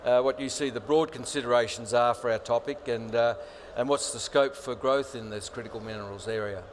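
A man moderates a panel on a conference stage. He talks at a middle pitch, stumbling through fillers and slipping in jokes, with a faint murmur of the event hall behind him. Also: steady tone, fairly quick, a little hiss or hum. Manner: hesitant, many fillers, jokey. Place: large conference stage with audience.

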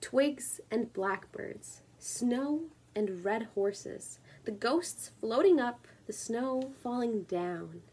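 A woman in her twenties reading poetry and chatting casually on her own video at home, in a measured, deliberate way. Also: on the high side, animated, a little mellow, breathy edge, poor audio. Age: 20s. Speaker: poetry reader and video creator. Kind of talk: spoken word poetry reading with casual introduction. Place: small room at home, indoors.

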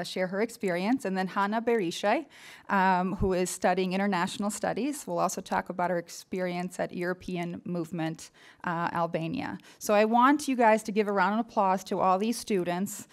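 A woman speaking informally and haltingly as a presenter at a podium in a lecture hall. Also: on the low side. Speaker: event presenter. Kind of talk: informal speech introducing speakers and thanking supporters.

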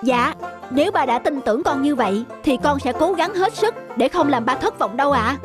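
A woman voicing a respectful, ambitious son who runs a bakery, earnest and formal, in an animated story. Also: sits high, moderate pace, over music. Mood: determined. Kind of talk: animated moral-story acting.

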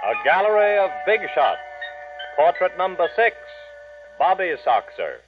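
A man's voice: high voice, sing-song, a little slow. A man announcing segment titles in the style of a 1940s radio show. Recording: muffled old radio recording with music.

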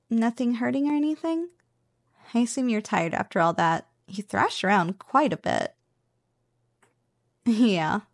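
A woman playing a playful, possessive but affectionate slime girl roommate in an ASMR roleplay, speaking softly and breathily with a teasing manner. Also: mid-range voice, vocal fry, no echo, studio quality. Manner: playful, teasing, slow and savoring.

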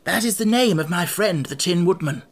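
A woman voicing a cheerful, pompous male scarecrow king in a storybook, with a bright and grandly reassuring manner. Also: lowish voice, expressive, normal speed, voice pushed up. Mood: reminiscing. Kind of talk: audiobook character voice.

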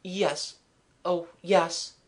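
A woman voicing an earnest, quietly lovestruck gentleman in a storybook, courteous and heartfelt. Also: lowish voice, steady tone, very slow, pitched above the performer's own voice. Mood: stunned, tender.

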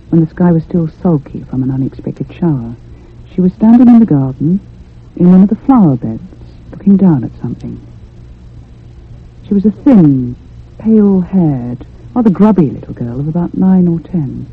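An actress playing an anxious, curious woman in a radio drama, speaking in a measured, literary and expressive way. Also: sits low, slightly rough, full character voice. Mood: reflective. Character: anxious, curious convalescent unmarried woman narrating a haunting. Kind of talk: radio drama acting and narration. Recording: muffled, phone-like old radio sound.

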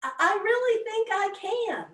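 A woman voicing a small, slow, earnest male turtle who speaks with quiet determination, in an echoey room off the mic. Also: extremely high pitch, very animated, recorded from a distance, pitched above the performer's own voice, exaggerated voice.

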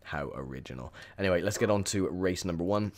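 A young man with a croaky, sore voice, chatting casually through gameplay commentary with lots of ums. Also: sits low, expressive, fast. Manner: chatty, rambling with many ums. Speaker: gaming video host.

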